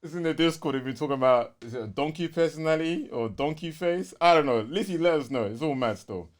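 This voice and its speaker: A man with a London accent co-hosting a gaming podcast in casual, slangy, animated chat. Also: fairly high pitch, fast talker. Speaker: podcast co-host.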